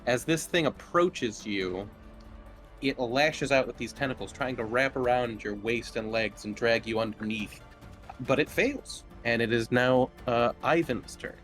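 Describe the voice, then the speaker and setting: A male game master talks casually through a tabletop horror session. He improvises his descriptions haltingly, in a medium-pitched voice. Music plays faintly behind him. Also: fairly bright tone.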